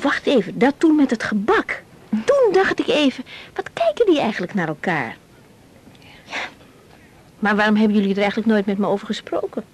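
A woman doctor in a naturalistic radio drama. Her pitch moves freely and her voice has a slight huskiness. She is nosy, sympathetic and quick to exclaim, halting as she thinks aloud. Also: slightly rough. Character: curious, chatty woman doctor, surprised and sympathetic to a confiding colleague.

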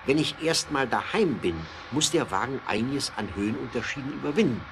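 A man's voice: medium pitch, animated, brisk, noisy background, natural voice. A man acting an adventurous aristocratic motorist in a German radio play, thoughtful, confident and resolute. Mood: pondering, resolute.

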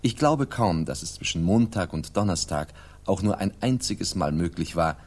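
Radio drama narrator: composed, literary gentleman, very low and slightly rough in tone. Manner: measured, literary storytelling. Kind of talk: scripted narration in a 1960s radio play.

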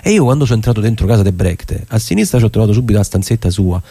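A man's voice: on the low side, big pitch swings, dark tone, husky, exaggerated voice. A man with a Roman accent playing an eager, persuasive younger theatre director in colloquial stage dialogue, often stumbling and repeating his words. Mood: enthusiastic. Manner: halting, repeats words while explaining. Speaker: actor.

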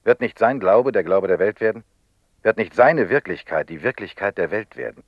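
An actor playing a grave, determined investigator in a radio drama, speaking in solemn, insistent rhetoric. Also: male voice, on the low side, animated, moderate pace, no echo, dull audio. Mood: urgent, ominous. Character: grave, determined man, telepath investigator urging drastic action. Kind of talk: German radio drama acting.

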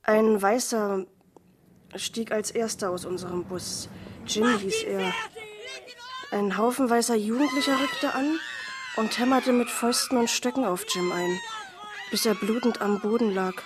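An actress playing a shaken civil rights activist giving intense, vivid testimony of violence in a radio drama. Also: female voice, fairly high pitch, lively intonation, a little slow, pauses often, background noise.

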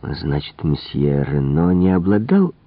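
A man voicing a shrewd, courteous middle-aged Belgian detective, speaking in a deliberate, gently probing way. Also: extremely low pitch, sing-song, dry recording, exaggerated voice. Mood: sympathetic probing. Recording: muffled, phone-like narrow-band audio.

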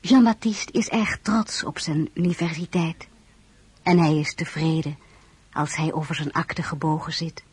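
An actress playing a reflective young wife and mother, narrating her life in a measured, intimate way in a radio drama. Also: deep voice, steady tone, breathy edge, no echo, exaggerated voice.

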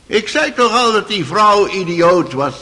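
Excitable, rambling old servant. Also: male voice, sits high, a little slow, full character voice. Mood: indignant, defensive. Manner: rambling, hesitant, indignant when challenged. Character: excitable old male servant testifying in court. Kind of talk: radio drama acting.

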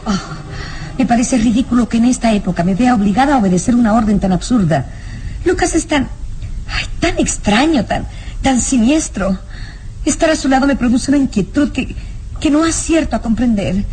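A woman's voice: on the high side, lively intonation, moderate pace, a little mellow, close to an everyday voice, poor audio. An actress playing an anxious but defiant young noblewoman in an old radio serial, hesitant and melodramatic. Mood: uneasy, indignant.

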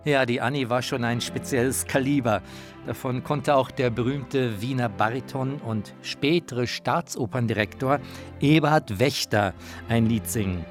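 Male radio feature narrator, medium pitch. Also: moderate pace, soft background music. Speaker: narrator and presenter.

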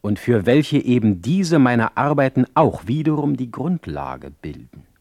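A brooding poet of a literary radio drama. The delivery is slow and eloquent, swinging widely in pitch with theatrical irony. It is captured dry and close. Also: male voice, very animated, darkish tone, no room sound. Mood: anxious, dramatic. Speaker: actor, narrator of a radio play. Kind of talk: literary radio play monologue, letter read aloud.

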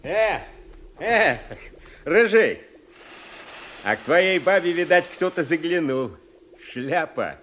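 An actor playing a sly, spiteful lowlife in a radio drama, drawling and full of insinuation. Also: male voice, animated, slow, pauses often, a bit bright. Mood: sly taunting. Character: sly, needling male lowlife, spiteful and cowardly. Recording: faintly noisy radio play recording.

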